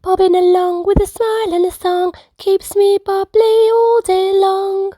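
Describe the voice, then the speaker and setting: A woman's voice: very high-pitched, little pitch movement, measured pace, warm tone, dry recording, studio quality, pitched above the performer's own voice. A woman voicing a cheerful young girl dolphin in a children's storybook, bubbly and sing-song. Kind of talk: children's storybook read-aloud.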